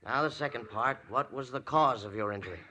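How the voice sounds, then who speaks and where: An actor playing a businesslike, officious sheriff who questions people insistently in a 1940s radio drama. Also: male voice, mid-range voice, brisk, bright, forceful tone, natural voice. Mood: officious, impatient. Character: county sheriff, brisk, officious, drily insistent. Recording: faintly noisy old radio recording.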